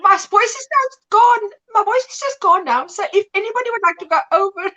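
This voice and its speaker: A woman with a very high, bright voice chats casually as a livestream host. Her pitch swings widely, and she talks in an animated, exclamatory way. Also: brisk. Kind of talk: casual live chat.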